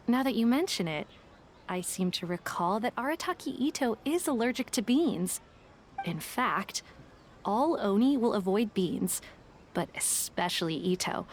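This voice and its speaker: Woman voicing a calm, shrewd businesslike woman, measured, dry, matter-of-fact, mid-range voice. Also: medium pitch, a little hiss or hum, lightly acted. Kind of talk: video game voice acting. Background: game music.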